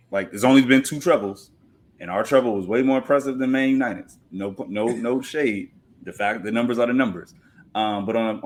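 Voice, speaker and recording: A man's voice: lowish voice, slight background noise. A man giving casual sports analysis as a podcast guest, assertive and explanatory, using rhetorical questions.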